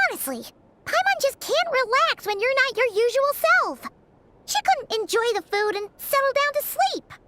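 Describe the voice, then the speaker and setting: A woman voicing a tiny, excitable, doting fairy companion in an anime-style video game, squeaky and childlike, exclaiming and naming herself in the third person. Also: unhurried, heavy background noise, full character voice. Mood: caring, chatty. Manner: exclaims and refers to herself by name.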